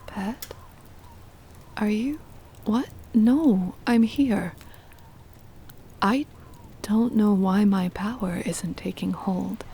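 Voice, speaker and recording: A woman voicing a possessive, doting vampire mistress in a soft, breathy ASMR roleplay, soothing, hypnotic and commanding. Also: medium pitch, echoey room, slight background noise. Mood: concerned, possessive. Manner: slow, soothing, hypnotic, controlling. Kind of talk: ASMR roleplay, intimate whispering and murmuring.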